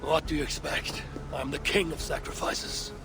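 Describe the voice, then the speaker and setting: An actor playing a proud, defiant god-king in a video game, grave and commanding, with a gravelly voice. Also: fairly high pitch, steady tone, moderate pace, over music. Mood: grim resolve. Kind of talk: cinematic video game acting.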